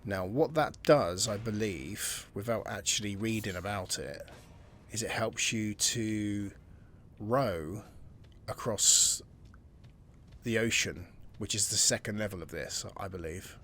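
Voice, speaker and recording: A man's voice: on the low side, slow pace, a bit bright, slight background noise. A man giving casual, chatty commentary while he plays a video game, thinking aloud and reading tutorial text as he goes. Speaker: gaming channel host.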